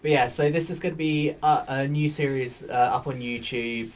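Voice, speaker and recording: A man hosting a casual podcast chat, rambling with plenty of fillers in a soft, soothing voice. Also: mid-range voice, fairly even intonation, moderate pace, a crowd in the background. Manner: rambling, chatty, with many fillers. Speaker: podcast host. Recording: faint background noise.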